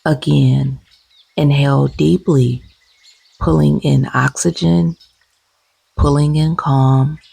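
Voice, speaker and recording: A woman leading a guided meditation gently and soothingly, with soft, breathy, husky tones and long unhurried pauses. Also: sits low, fairly even intonation.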